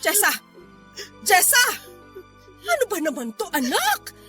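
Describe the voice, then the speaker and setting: A woman's voice: very high-pitched, wildly swooping pitch, unhurried, bright, forceful tone, soft background music, full character voice. An actress playing a stern, desperate older mother-in-law in a radio drama, scolding and pleading tearfully. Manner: scolding, imploring, tearful emphatic delivery. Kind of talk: Tagalog radio drama acting.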